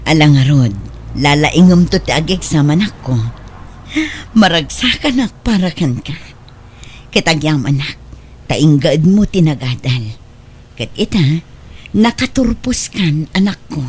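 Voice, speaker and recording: A woman's voice: deep voice, animated, measured pace, long pauses, a little mellow, slight background noise. A woman with a Filipino accent acting a long-suffering, forbearing mother in a radio drama. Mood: proud.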